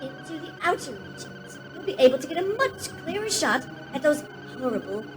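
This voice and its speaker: Snippy contemptuous alien, high pitched, arguing, loud music under it, radio comedy. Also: big pitch swings, fairly quick. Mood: argumentative. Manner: argumentative, contemptuous. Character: high-voiced, spiteful, quarrelsome alien leader. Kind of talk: comic radio play acting.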